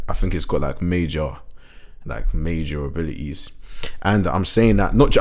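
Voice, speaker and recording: Deep-voiced young man chatting casually. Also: a little mellow. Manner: laid-back, rambling, slangy, many fillers. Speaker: podcast host, musician. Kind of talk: casual solo chat.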